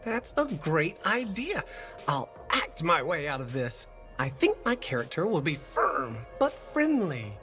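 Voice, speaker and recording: A man's voice: fairly high pitch, wildly swooping pitch, a little slow, sharp tone, faint music behind, heavily acted. An actor playing an earnest, anxious man who does amateur acting, in a video game, with a dramatic manner. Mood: earnest, grateful.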